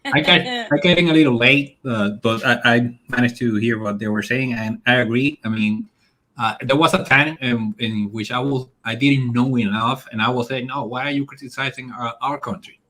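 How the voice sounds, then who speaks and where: A man from the Dominican Republic with a Dominican Spanish accent, speaking as a guest in a casual political discussion in a halting, conversational way. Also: mid-range voice, bright, forceful tone, low-quality recording. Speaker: guest on a talk show.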